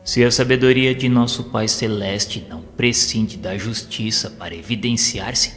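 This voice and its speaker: A man with a low voice narrates an audio novel in solemn, formal oratory. His delivery is measured and sermon-like. Long reverb surrounds the voice, with music playing underneath. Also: fairly bright tone.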